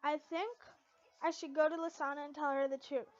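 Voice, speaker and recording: A woman's voice: high voice, fairly even intonation, moderate pace, dull audio, close to an everyday voice. A woman voicing a brash, blunt teenage boy with a casual, teasing manner in an amateur story reading.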